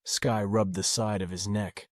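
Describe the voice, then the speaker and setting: Man, scripted narration, lowish pitch, close dry recording, fiction audiobook. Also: fairly even intonation, a little slow, no echo.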